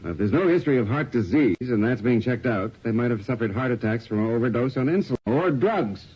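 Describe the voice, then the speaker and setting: A man's voice: mid-range voice, expressive, fast talker, darkish tone, breathy edge. Matter-of-fact male detective, muffled radio sound. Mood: probing. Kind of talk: radio drama acting. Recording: band-limited 1980s radio drama, music behind.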